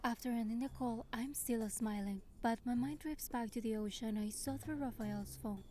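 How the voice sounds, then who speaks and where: A young woman acting as a gentle game heroine who narrates her own story in a soft, measured way. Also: fairly high pitch, slight background noise, close to an everyday voice. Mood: reflective. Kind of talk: scripted game narration and dialogue.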